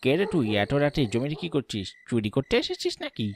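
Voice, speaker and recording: A man's voice: mid-range voice, huge pitch swings, brisk. A man voicing a menacing, taunting, bloodthirsty ghost out for revenge in a horror cartoon. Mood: threatening. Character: vengeful bloodthirsty male ghost haunting the fields at night. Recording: music behind the voice.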